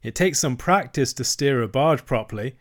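A man's voice: medium pitch, expressive, moderate pace, natural voice. A man voicing a hearty, shrewd middle-aged barge woman who speaks politely with a sly edge.

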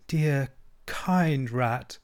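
A man voicing a storybook toad who feigns mortal illness, murmuring weakly in a drawn-out, martyred way. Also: mid-range voice. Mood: feeble, martyred. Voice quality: feeble, weak. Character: languid, self-pitying male toad feigning mortal illness. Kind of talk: storybook character acting.

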